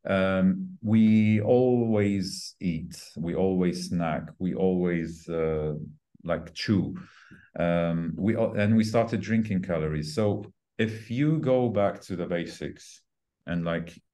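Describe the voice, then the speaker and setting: A man in his forties talking as a fitness trainer in an interview, explaining in a conversational, halting way and repeating key words for emphasis. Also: deep voice, warm tone. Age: 40s. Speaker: fitness trainer and podcast guest.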